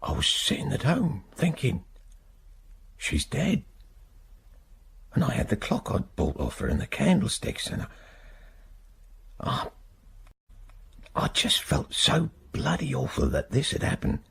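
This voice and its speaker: Gravelly, weary English ex-prisoner. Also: male voice, mid-range voice, wildly swooping pitch, a bit bright, full character voice. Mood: anguished, helpless. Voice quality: husky, gravelly. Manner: halting, plain-spoken, working-class idiom. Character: weary, haunted working-class man wrongly imprisoned. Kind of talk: naturalistic radio drama acting.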